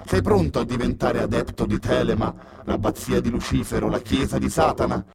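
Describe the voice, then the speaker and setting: A man voicing a sinister male occultist cult leader, speaking in a solemn, gravelly incanting tone. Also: medium pitch, a little fast, a loud crowd. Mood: solemn incantation.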